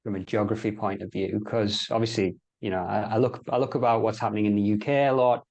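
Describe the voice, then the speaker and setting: A man's voice: fairly low pitch, fairly even intonation, quick pace, creaky, a little mellow. A middle-aged man with an English accent, speaking as an interview guest in casual chat, with hesitant phrasing and repeated words. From England. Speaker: interview guest in sport and health sector.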